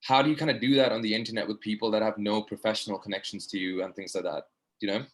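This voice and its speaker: A man's voice: on the low side, fairly even intonation, fast. A young man, a medical student, earnestly asking a long, rambling question over a video call, full of fillers. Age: young adult. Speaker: audience participant, medical student. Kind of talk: online discussion question.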